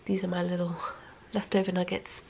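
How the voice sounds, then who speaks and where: A woman's voice: fairly low pitch, completely flat delivery, a little fast, creaky, darkish tone, a little hiss or hum. A woman chatting casually in a vlog in a rambling way, audibly congested and sniffly, sometimes dropping almost to a whisper. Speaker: knitting vlogger.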